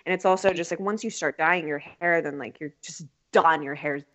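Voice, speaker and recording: Chatty, laughing actress. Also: female voice, sits low, moderate pace, a bit bright. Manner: chatty, laughing, swears freely. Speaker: actress guest. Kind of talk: casual interview chat.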